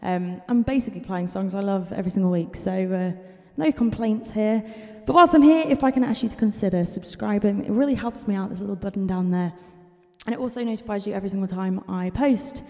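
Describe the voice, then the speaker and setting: A woman's voice: mid-range voice, brisk, big-room sound. A young woman, chatting casually into a stand mic in a small bedroom. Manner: chatty and relaxed, sings with piano. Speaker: singer-songwriter YouTuber. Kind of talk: casual chat and singing at the piano. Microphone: studio mic on a stand. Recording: loud piano playing behind.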